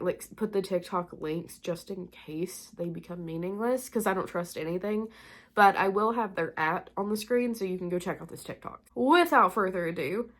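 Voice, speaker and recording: A young woman hosting a spooky vlog, sounding stuffed-up and nasal and a bit short of breath, chatting casually with lots of fillers. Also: on the low side. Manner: chatty, rambling with many "like" fillers. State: congested, nasal from illness. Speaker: spooky-content creator and host. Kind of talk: casual chat to camera.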